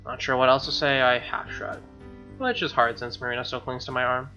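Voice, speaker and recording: Bright-voiced, snarky man on a dull mic. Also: mid-range voice, steady tone, brisk. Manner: snarky, profane asides. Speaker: gaming video host. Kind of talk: reading a visual novel aloud with casual commentary. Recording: dull lo-fi mic over music. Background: game music with guitar.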